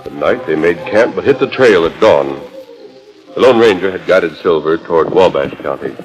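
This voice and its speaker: A man's voice: low-pitched, lively intonation, moderate pace, low-quality recording. A man narrating a western adventure in dramatic 1940s radio style. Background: music.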